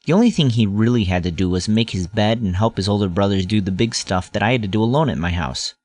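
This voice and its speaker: A man's voice: lowish voice, quick pace, darkish tone, no echo, close to an everyday voice. A man playing a grown-up narrator who recalls a scary boyhood adventure in earnest, dramatic first-person storytelling. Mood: reflective, suspenseful.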